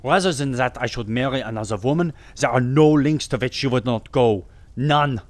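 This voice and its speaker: A man's voice: mid-range voice, moderate pace, no room sound, lightly acted. A man voicing an anxious, imperious king of thirty in a solemn, formal manner for an audiobook. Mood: anxious, emphatic.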